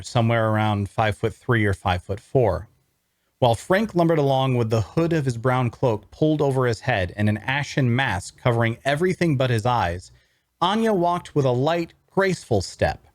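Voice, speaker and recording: A man's voice: mid-range voice, dry recording, clean studio sound. A man, a voice actor, reading first-person story narration from a script.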